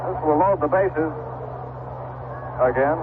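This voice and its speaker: An American man calling baseball play-by-play for radio in short, clipped, measured phrases. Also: a little high. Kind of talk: live radio play-by-play commentary. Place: ballpark radio broadcast. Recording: noisy vintage AM radio broadcast, narrow band.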